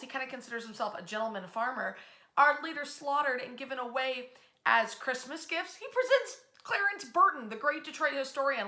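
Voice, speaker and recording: Bright-voiced American woman telling history, echoey room. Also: high voice. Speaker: history storyteller, host. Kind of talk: informal narrated history storytelling.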